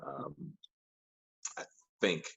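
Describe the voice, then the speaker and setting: A man born in Ghana and raised in the United States, a guest on a video call, telling his story in a relaxed, rambling way. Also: drawn-out words, no room sound. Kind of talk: casual video-call conversation. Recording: dull, dry video-call audio.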